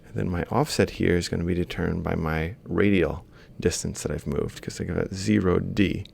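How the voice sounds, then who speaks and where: A man's voice: deep voice, steady tone, moderate pace, creaky, a little mellow, slight background noise. A man lecturing informally, explaining a worked example step by step and thinking aloud as he writes. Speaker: university lecturer.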